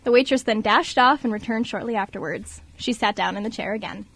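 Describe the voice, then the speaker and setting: A woman's voice: fairly high pitch, brisk, creaky, fairly bright tone, husky, no room sound. A woman reading scripted narration as the narrator of an amateur radio drama.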